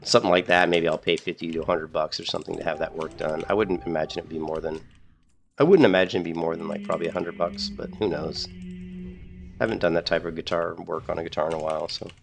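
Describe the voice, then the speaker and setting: A man in a casual, rambling chat about guitars, losing his voice a little and clearing his throat often. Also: low-pitched, fast, soft background music. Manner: rambling, repeats himself, frequent throat clearing. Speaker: streamer.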